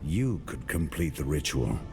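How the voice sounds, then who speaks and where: An actor playing a stern, resolute warrior hero in a video game cutscene, terse and grave. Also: male voice, a bass voice, sing-song, unhurried, gravelly, over music, heavily acted. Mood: grave concern.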